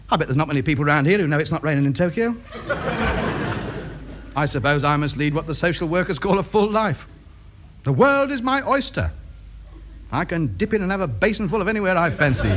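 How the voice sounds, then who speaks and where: A man's voice: medium pitch, noisy background, natural voice. A man with an English accent playing an exasperated, self-important amateur radio enthusiast in a sitcom, theatrical and prone to over-enunciating. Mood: self-satisfied musing. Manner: theatrical, slowly over-enunciating or grandly musing. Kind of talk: broadcast sitcom acting.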